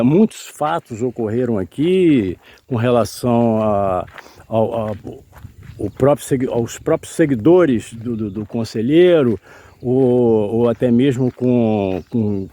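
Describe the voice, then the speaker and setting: A Brazilian man asking unscripted interview questions in a halting way, searching for his words. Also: medium pitch, mellow.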